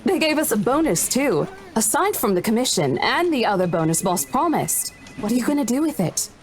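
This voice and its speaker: A woman's voice: on the high side, big pitch swings, fairly quick. A woman playing a confident, teasing female estate agent and mentor in a visual novel, breezy and playful.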